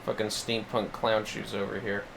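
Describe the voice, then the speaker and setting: A man's voice: on the low side, little pitch movement, moderate pace, creaky, noisy background. A man giving casual, playful livestream commentary on a video game, muttering asides to himself.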